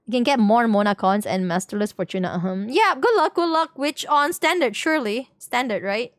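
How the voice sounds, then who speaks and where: Female game streamer, slightly high pitch, dry sound, casual and slangy, rambling chat with viewers. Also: animated, a little fast, dry recording. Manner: chatty, rambling, slangy, repeats phrases. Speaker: game livestreamer.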